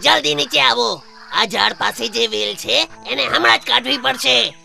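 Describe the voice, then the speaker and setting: A stern, watchful parrot chief warns his flock in a children's cartoon. The voice is heavily gravelled and very harsh and bright, squawky, over faint music. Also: very high voice, normal speed, full character voice. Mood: stern warning. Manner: urgent, admonishing.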